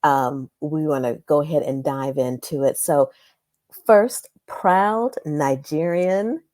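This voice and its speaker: Low-voiced woman hosting an interview. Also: slow pace, a little mellow, no room sound, clean studio sound. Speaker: podcast host, interviewer. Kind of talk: warm-up interview questions, conversational.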